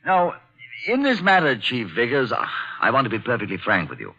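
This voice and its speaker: A chatty, excitable colonial host. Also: male voice, medium pitch, fairly quick, husky, heavily acted. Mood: eager. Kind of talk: 1940s radio acting. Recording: dull lo-fi 1940s radio recording.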